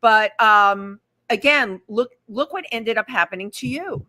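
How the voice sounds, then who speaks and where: A woman's voice: a little high, a little slow, sharp tone. A woman co-hosting a live talk show in a restaurant, who heaps effusive, enthusiastic praise on her guest. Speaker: co-host.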